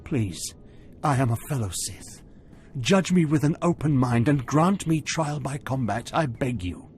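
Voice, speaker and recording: An actor playing a middle-aged disgraced Sith warrior in a video game, a desperate prisoner speaking with solemn, pleading pride. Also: male voice, medium pitch, animated, a little slow, darkish tone, soft background music. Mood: begging. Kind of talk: video game cutscene acting.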